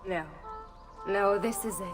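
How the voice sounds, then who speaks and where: An actress playing an anxious, loyal maidservant in a video game. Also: female voice, medium pitch, unhurried, loud music behind the voice, close to an everyday voice. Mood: urgent, reassuring. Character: anxious, loyal maidservant of a noble Italian family. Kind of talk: video game cutscene acting.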